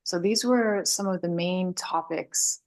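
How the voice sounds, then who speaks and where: A woman raised in Canada, a guest speaking thoughtfully and softly in an interview, laughing easily. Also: fairly low pitch, measured pace. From Hungary, raised in Canada. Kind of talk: reflective interview conversation.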